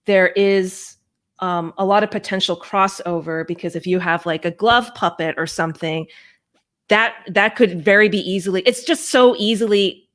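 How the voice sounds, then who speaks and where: A woman's voice: mid-range voice, a bit bright. A woman from Hong Kong chatting casually as an interview guest, hesitant and self-effacing, thinking aloud. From Hong Kong, born in the US. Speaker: guest puppeteer and storyteller.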